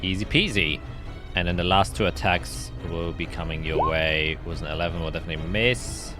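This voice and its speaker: A man with a notably low voice narrates a tabletop game as its game master. He talks casually and loosely, with some swearing. Music plays clearly behind him. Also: fairly quick. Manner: colloquial, swearing. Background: game background music.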